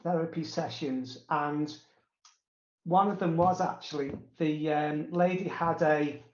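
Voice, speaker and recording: A man with a stutter, recalling personal experience haltingly, with repetitions, pauses and many fillers. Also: fairly high pitch. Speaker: interview guest. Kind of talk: casual personal recollection. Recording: roomy, echoey, dull sound.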